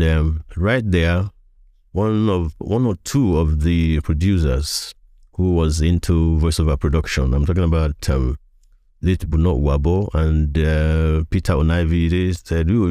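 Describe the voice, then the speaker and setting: Casting: older Nigerian male voiceover veteran, extremely deep and dark voice, reminiscing in interview. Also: unhurried, no echo, clean studio sound. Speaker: podcast guest, veteran voiceover artist.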